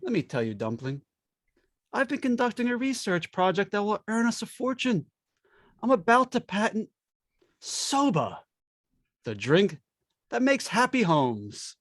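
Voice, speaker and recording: Tipsy henpecked husband, florid and wheedling, swooping pitch, 1950s radio drama, dry sound. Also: male voice, wildly swooping pitch, measured pace, pauses often, fairly bright tone, no room sound, exaggerated voice. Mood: flattering, grandiose. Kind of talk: 1950s old-time radio acting.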